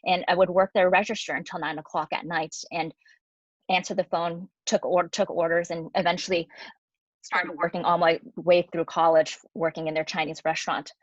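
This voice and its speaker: Lively woman speaker on a dull line. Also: fairly low pitch, moderate pace, dry recording. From United States. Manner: lively, conversational, with fillers. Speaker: guest speaker, physician. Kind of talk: online talk with slides. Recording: dry, dull lo-fi audio.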